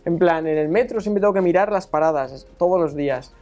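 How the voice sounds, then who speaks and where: A man's voice: on the high side, brisk, darkish tone, soft background music. A young man with a Madrid accent hosting a casual, colloquial chat. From Madrid, Spain. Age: young adult. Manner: colloquial, informal. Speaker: host. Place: small studio set, indoors. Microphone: lapel.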